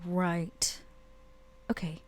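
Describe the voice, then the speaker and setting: A woman's voice: on the low side, level tone, drawn-out words, slight background noise. A woman taking part in a tabletop roleplay game, chatting casually as a player about what her character does.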